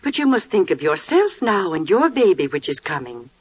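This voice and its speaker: An actress playing a caring, gently bossy biblical kinswoman, soothing yet firm, in a 1940s radio drama. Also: lowish voice, sing-song, moderate pace, slightly rough. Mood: tender concern. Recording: muffled, dry vintage 1940s radio recording.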